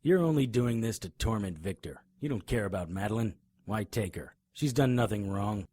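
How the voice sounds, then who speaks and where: An actor playing a brooding, wry investigator who narrates a noir-style audio drama in a dry, hard-boiled manner. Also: male voice, on the low side, animated, moderate pace, dark tone, no room sound. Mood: pleading, firm. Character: brooding, wry, stubborn male supernatural investigator. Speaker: narrator and lead character.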